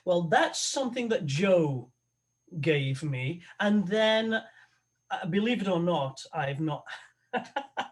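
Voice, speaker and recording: A man, an opera tenor, talking as a guest on a video call, halting and thoughtful with many restarts. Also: sits high, lively intonation. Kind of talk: conversational interview over a video call.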